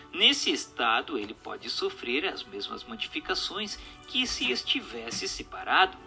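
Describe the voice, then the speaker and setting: A man with a Brazilian accent reading a scripted text as narrator, in a formal, didactic, measured manner. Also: fairly high pitch, sharp tone. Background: music.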